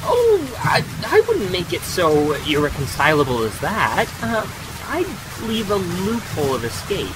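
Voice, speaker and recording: A man plays a courteous young suitor in a period romance audio drama. He is gentle, earnest and slightly hesitant, his pitch rising and falling freely. Rain is clearly heard behind him. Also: wildly swooping pitch, unhurried, hardly any pauses, background noise, exaggerated voice. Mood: cautious, coaxing.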